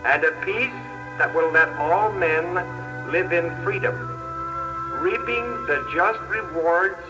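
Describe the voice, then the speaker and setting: An actor playing a solemn announcer in a 1940s radio drama, declaiming formally. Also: male voice, on the high side, slow. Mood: solemn, fervent. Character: solemn announcer reading out a presidential prayer. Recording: echoey old radio recording with music.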